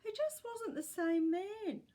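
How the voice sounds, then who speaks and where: A woman's voice: very high voice, lively intonation, takes it slowly, warm tone, pitched above the performer's own voice, heavily acted. A woman voicing a proud, wistful elderly great-grandmother who reminisces earnestly in a storybook. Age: older. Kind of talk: storybook character voice.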